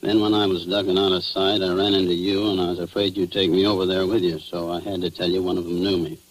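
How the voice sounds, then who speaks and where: A man's voice: sits low, little pitch movement, quick pace, natural voice. An American actor playing a cornered crooked policeman in a 1940s radio drama. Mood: resigned. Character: cornered crooked policeman admitting his guilt. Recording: noisy, humming old radio recording.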